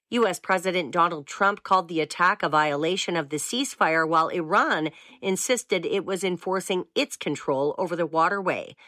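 A woman reading a scripted newscast in an even, measured way. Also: lowish voice, no room sound, clean studio sound. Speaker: newsreader.

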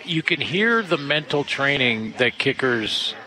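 A man's voice: medium pitch, sing-song, a little slow, sharp tone, rough recording. A man co-hosting a sports talk show, a former player breaking down the mental side of kicking with confidence and easy laughs. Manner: laughs often, confident, analytical.

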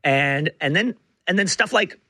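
A male talk radio host with a bright, forward voice that is a little hoarse and rough around the edges. It sits fairly high and is recorded dry and close in a studio. He talks emphatically, often stopping to rephrase himself. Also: animated, unhurried, dry recording. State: hoarse, rough voice from being unwell. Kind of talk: talk radio monologue and banter. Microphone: close studio broadcast mic.

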